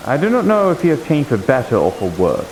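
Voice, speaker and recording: A man's voice: medium pitch, wildly swooping pitch, fast talker, a little mellow. A man improvising roleplay dialogue in a hesitant, thinking-aloud manner. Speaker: streamer role-playing in a VR game. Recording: clearly noisy with rain-like hiss.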